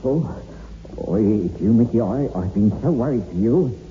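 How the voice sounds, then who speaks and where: An actor plays a superstitious old man, a family retainer in a radio chiller. His delivery is hesitant and foreboding, with a quaver and big swings of pitch. The sound is thin and phone-like, with faint hiss. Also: animated, moderate pace, lightly acted. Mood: anxious. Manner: hesitant, fretful, ominous, rustic wording. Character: superstitious, nervous eighty-year-old male family retainer. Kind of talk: 1960s radio horror acting. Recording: muffled, phone-like, noisy old radio recording.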